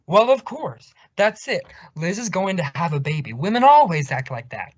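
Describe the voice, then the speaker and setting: Blustery, sardonic older radio boss. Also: male voice, high voice, very animated, moderate pace, no echo. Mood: knowing, amused. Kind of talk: 1950s radio sitcom acting. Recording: dull vintage radio recording.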